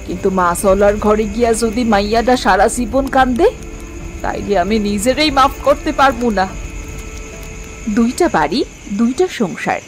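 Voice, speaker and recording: A woman's voice: mid-range voice, close to an everyday voice. A woman playing a strict, worried village mother in a cartoon, sarcastic and scolding. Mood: anxious lament. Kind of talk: dramatic cartoon voice acting.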